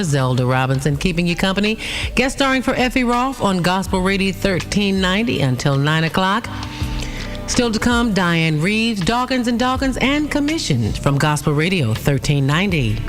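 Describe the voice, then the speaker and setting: A woman hosting a gospel radio show, chatting warmly with callers and reading announcements. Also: fairly low pitch. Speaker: radio host. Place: radio studio.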